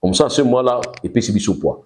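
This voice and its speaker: A Congolese man with a Congolese accent speaks in a mellow, darker voice. He explains a legal point at length and steadily, as a guest on a political talk show, and slips between French and Lingala as he goes. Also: mid-range voice, lively intonation, a little fast. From Congo-Kinshasa.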